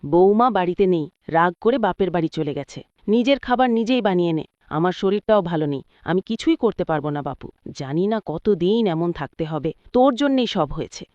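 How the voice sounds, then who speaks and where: A woman's voice: on the low side, expressive, a little fast, darkish tone, dry recording, dull audio, natural voice. A woman voicing an older, practical village mother-in-law in a cartoon, motherly and plain-spoken. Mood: weary, reproachful. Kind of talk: cartoon voice acting.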